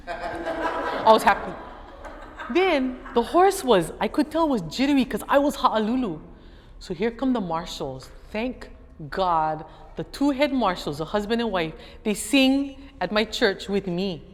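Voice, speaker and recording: Woman, Hawaiian accent, middle-aged, animated storyteller, long hall reverb. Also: mid-range voice, measured pace. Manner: animated, lively storyteller who acts out other people's voices. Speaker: guest speaker and educator. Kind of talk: storytelling talk to an audience. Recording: echoey hall, faint background noise.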